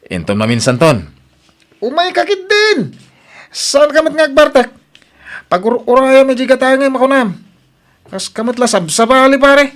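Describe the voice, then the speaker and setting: A man's voice: extremely high pitch, very animated, measured pace, long pauses, a bit bright, pitched above the performer's own voice, heavily acted. A man voicing a boisterous, teasing male friend in an audio drama. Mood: jovial. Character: loud jovial male buddy.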